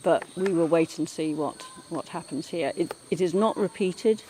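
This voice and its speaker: A woman's voice: fairly low pitch, moderate pace, mellow. A woman giving an unscripted, explanatory talk as an agricultural project expert. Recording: clear outdoor background noise with insects.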